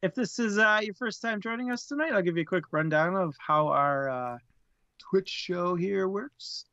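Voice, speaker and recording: A man's voice: sits high, normal speed, pushed and bright, clean tone, no room sound, highs cut off. A man co-hosting a live book discussion stream, chatty and laughing often, sometimes tripping over his words. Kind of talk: casual live-stream chat, plugs and rundown.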